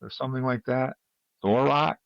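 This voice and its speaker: A man hosting a casual panel chat, talking in a halting, opinionated, conversational way. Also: mid-range voice, creaky, no room sound, highs cut off. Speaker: podcast host.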